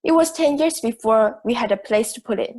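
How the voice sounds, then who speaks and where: A young woman playing a logical, self-assured juror in an amateur audio drama, speaking in a measured, articulate way. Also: fairly high pitch, a little mellow, natural voice. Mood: casual, reflective. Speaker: student actor in school drama class.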